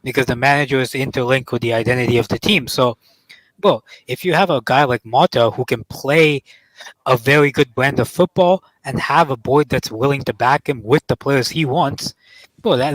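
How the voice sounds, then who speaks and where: A man's voice: medium pitch, a bit bright, no room sound, low-quality recording. A man chatting casually as a football panelist in an informal, slangy way. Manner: informal, slangy, peppered with 'bro'. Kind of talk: casual chat.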